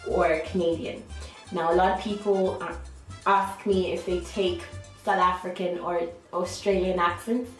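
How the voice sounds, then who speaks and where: A woman with a light Irish accent, an online English teacher giving a chatty, upbeat how-to talk that often restarts its sentences. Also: on the low side. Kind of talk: casual how-to vlog. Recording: echoey room, faint music.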